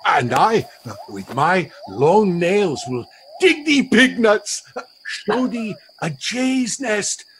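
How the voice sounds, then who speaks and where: High, howling, servile monster. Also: male voice, animated, slow, a bit bright, slight background noise, heavily acted. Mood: fawning eagerness. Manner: fawning, howling, theatrical. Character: drunken, servile savage monster, exultant and grovelling. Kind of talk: radio play acting of Shakespeare.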